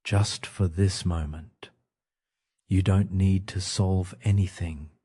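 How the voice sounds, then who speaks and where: A man guiding a sleep meditation in a calm, gentle, soothing manner, speaking in short phrases with pauses. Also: sits low, husky. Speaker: meditation guide.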